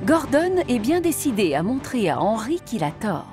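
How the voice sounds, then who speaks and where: A woman tells a cartoon story in a warm, storybook manner. Her voice is somewhat high and lively, and music plays beneath it. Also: normal speed. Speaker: narrator.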